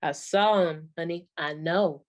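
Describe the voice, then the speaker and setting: A woman playing a folksy older stand-up comedienne of the 1940s, telling jokes in a drawn-out, deliberate way. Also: low voice, crowd noise, noisy background. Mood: storytelling, deadpan.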